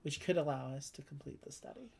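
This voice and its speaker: A woman with a fairly low voice talks through a board game as its host. She chats casually, with wry and bawdy asides. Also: lively intonation, quick pace, vocal fry, slightly rough. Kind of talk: casual chat and game commentary.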